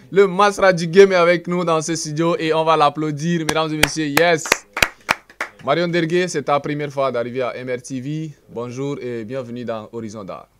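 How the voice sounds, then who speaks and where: A man hosts an arts program from a broadcast studio. His voice sits at a middle pitch, and he speaks in a halting way, full of filler sounds and brief chuckles. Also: a bit bright. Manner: hesitant, many filler pauses, chuckling. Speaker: radio and TV arts show host. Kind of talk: studio interview chat.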